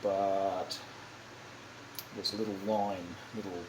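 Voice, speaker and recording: Man, fairly deep pitch, noisy amateur recording, casual how-to narration, hesitant thinking-aloud delivery. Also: level tone. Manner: halting, thinking aloud, self-correcting. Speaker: hobbyist demonstrating a kit build.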